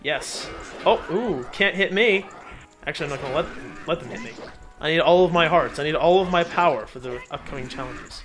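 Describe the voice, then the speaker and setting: A man giving casual video game commentary as the host, playful and often pausing to find his words. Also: a little high, animated, a bit bright, faint music behind. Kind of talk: casual Let's Play commentary.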